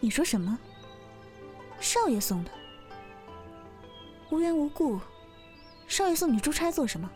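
Woman as a haughty high-voiced young mistress of an old Chinese household. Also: big pitch swings, a little slow, pauses often, darkish tone, faint music behind. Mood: cold, suspicious. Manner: haughty, accusing. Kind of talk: audio drama acting.

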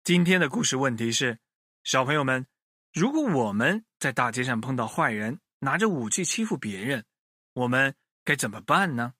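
A man telling a children's story in an expressive way, speaking directly to his young listeners. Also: medium pitch, normal speed, husky, dry recording, studio quality.

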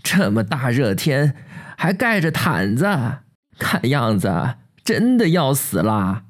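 A man voicing a drunken, boisterous old village chief who teases loudly and cackles. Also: mid-range voice, big pitch swings, slow pace, pitched above the performer's own voice. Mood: mocking. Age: older. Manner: loud, boisterous, teasing, cackling.

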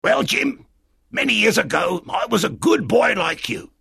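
A man voicing a half-crazy marooned old sailor in a storybook, with a strange, excitable, eager and wheedling way of talking. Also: high-pitched, creaky, husky, no room sound, studio quality, pitched above the performer's own voice, full character voice. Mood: excited, secretive. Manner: strange-voiced, excitable, drawn-out emphatic phrases. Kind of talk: dramatised storybook reading.

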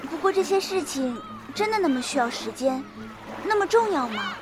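A woman plays a carefree young village girl in an audio drama, with a high, lively voice. She is innocent, playful and full of questions, with music clearly behind her. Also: normal speed. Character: carefree, curious young village girl living only a hundred days. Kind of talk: radio drama acting. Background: background music.

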